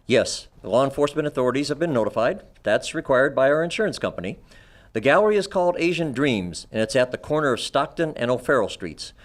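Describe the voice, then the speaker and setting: Casting: male actor as pompous, proper doctor client in a radio mystery, stiff, precise diction, medium pitch. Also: lively intonation, fast talker, close to an everyday voice. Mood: stiff, formal. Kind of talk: old-time detective radio acting.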